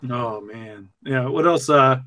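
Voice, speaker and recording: A man co-hosting a podcast, chatting casually with informal, halting phrasing. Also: mid-range voice, sharp tone. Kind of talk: casual group chat.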